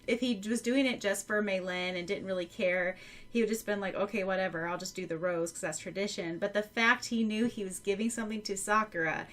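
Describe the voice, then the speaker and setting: A woman's voice: mid-range voice, fairly quick, a bit bright. An American woman co-hosting a podcast, chatting casually in an agreeable, earnest way. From United States. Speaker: podcast co-host. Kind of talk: casual chat.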